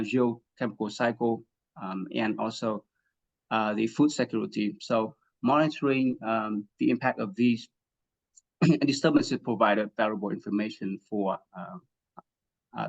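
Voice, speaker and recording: A man from Vietnam giving an academic webinar talk, halting with many fillers and restarts and frequent throat clearing. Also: mid-range voice, highs cut off. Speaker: guest speaker, assistant professor.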